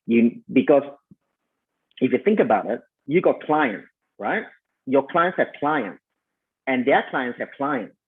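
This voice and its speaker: A man with a Malaysian accent coaches listeners on selling in a loose, conversational way, sprinkling in fillers and calling them "you guys." His voice is a little husky. The audio comes through thin and narrow, like a phone line. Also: mid-range voice, animated, a little slow, long pauses. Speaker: sales coach. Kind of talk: online summit talk.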